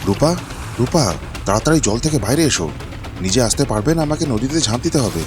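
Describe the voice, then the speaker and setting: A man's voice: mid-range voice, lively intonation, brisk, over music, close to an everyday voice. A man playing a kind, protective young village husband in a fairy-tale cartoon, earnest and concerned. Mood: worried.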